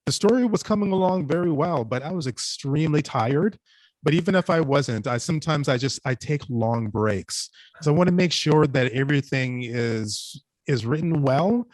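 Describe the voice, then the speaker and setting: A man's voice: a little high, expressive, normal speed, no room sound. A man speaking earnestly and reflectively on a panel, often repeating and restarting his phrases as he goes. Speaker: podcast writer and panelist.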